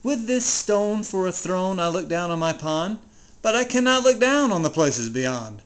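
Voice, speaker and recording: A storybook reader voicing a boastful, tyrannical male turtle king, pompous and given to grand shouted commands. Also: mid-range voice, sing-song, measured pace. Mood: discontented.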